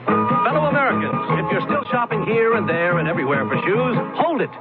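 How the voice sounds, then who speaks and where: A man announcing a shoe store ad in a brisk, rousing way. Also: very high voice, big pitch swings, over loud music. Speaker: jingle ad announcer. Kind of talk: sung radio jingle. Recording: tinny old radio ad.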